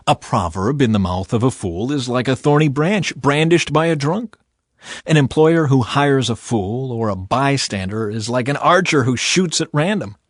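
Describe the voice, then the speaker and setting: A man reads scripture aloud in a dramatized style, his medium-pitched voice a little rough and husky. The delivery is emphatic and deliberate, moving through a wide range of intonation. Quiet music plays behind him. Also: no room sound, studio quality. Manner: theatrical, emphatic, deliberate delivery with expressive swells and drops. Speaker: Bible narrator. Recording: voice over background music.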